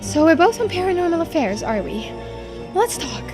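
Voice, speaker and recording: A woman's voice: extremely high pitch, big pitch swings, normal speed, over music. A woman voicing a shrewd, commanding female government investigator in a video game, authoritative and calculating. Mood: probing.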